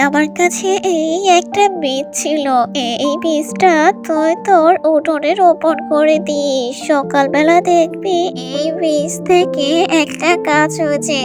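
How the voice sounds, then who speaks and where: Cartoon granny, played by a woman: elderly, plaintive, kindly, extremely high pitch, music clearly behind. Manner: plaintive, coaxing, drawn-out endearments. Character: hungry, weepy, kindly old village granny. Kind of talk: children's cartoon voice acting. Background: children's music.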